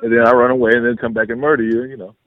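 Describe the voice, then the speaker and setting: A man's voice: medium pitch, level tone, rapid-fire, narrow, tinny audio, a crowd in the background. A man in his early thirties, a wisecracking comedian guest who bants with the host. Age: 30s. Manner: wisecracking, mock-dramatic. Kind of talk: casual banter.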